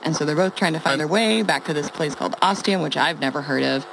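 An actress playing a wry, sweary former radio host who survives the apocalypse, telling her day in a halting, conversational audio-drama monologue. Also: low voice, vocal fry, background noise. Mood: incredulous, wry. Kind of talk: conversational comedic monologue, as a ham radio log.

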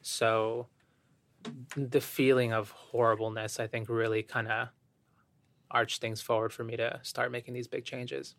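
Soft-spoken thoughtful man, flat delivery. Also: medium pitch, a little slow, a little hiss or hum. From Ecuador. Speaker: podcast guest. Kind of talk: reflective interview talk.

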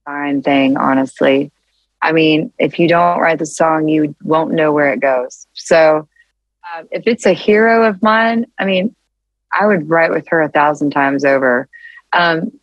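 A woman with a naturally deeper, lower voice recounts songwriting stories in an easy conversational way. She restarts her sentences often and keeps the energy lively. The sound is dry and close. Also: no room sound, muffled recording. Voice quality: deeper, low-register voice. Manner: animated storytelling with frequent restarts and laughs. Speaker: songwriter guest. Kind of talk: casual interview chat.

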